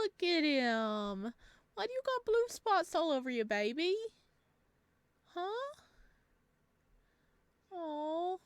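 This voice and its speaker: Expressive woman narrating a game, dry close sound. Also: on the high side, no room sound. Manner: expressive, bubbly asides to viewers. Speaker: gaming video host. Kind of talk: let's play, reading game text aloud with chatty reactions.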